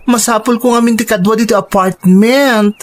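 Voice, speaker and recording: A woman's voice: a little high, fairly even intonation, moderate pace, close to an everyday voice. A woman with an Ilocano accent acting an earnest, persuasive young working woman in a radio drama. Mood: respectful, pleading.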